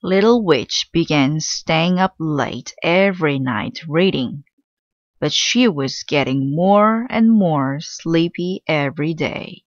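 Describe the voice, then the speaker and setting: Female children's storyteller: lowish pitch, unhurried, expressive narration, dull-sounding close recording. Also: dry recording. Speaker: read-aloud storyteller.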